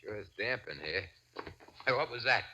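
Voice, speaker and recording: A man playing a greedy, suspicious gunman in a 1940s radio drama, with gruff, pushy, clipped talk. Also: lowish voice, animated, moderate pace, pushed and bright, slight background noise. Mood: suspicious, impatient. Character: greedy, suspicious, threatening male crook with a gun.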